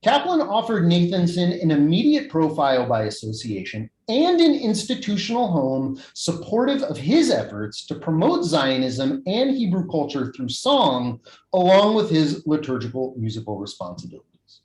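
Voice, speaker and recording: A man at an academic conference, reading a prepared research paper in a measured, formal way over a video call. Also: on the high side, huge pitch swings, darkish tone. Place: video-call recording, echoey room. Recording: echoey video-call recording.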